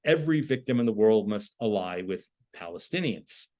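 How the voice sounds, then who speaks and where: A man's voice: lowish voice, lively intonation, normal speed, dark tone. A man lecturing in an explanatory, emphatic manner, posing rhetorical questions as he goes. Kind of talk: lecture on a political topic.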